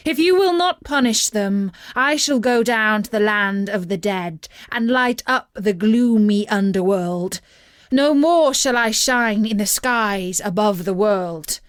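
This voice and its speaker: A woman's voice: mid-range voice, no room sound, clean studio sound. A woman voicing a proud, wronged sun god in a storybook tale, complaining in a declamatory, demanding way.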